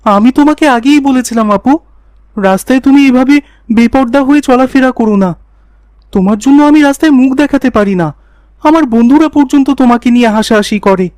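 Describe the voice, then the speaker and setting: A woman's voice: high-pitched, a little fast, a little mellow, dry recording, natural voice. A woman voicing a reproachful younger brother in a cartoon story.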